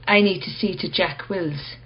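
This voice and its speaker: A woman playing a reserved, quietly probing art gallery owner in a radio play, measured and understated. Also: on the low side, highs cut off, natural voice.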